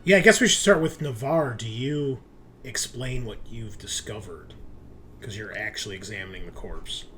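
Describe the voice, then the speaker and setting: A man running a tabletop roleplaying game, narrating casually in a halting, searching, informal way. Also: on the low side, fairly bright tone, faint music behind. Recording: clearly noisy recording with room ambience.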